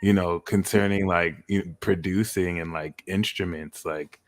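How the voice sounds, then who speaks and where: A man chatting casually as a podcast panelist, halting and self-deprecating. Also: deep voice, fairly even intonation. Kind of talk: casual chat.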